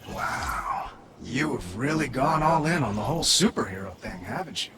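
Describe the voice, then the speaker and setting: A man's voice: medium pitch, animated, moderate pace, over a noisy crowd, close to an everyday voice. An actor playing a cocky, eccentric male artificial intelligence engineer in a video game, brash, theatrical and rambling. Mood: brash, excited.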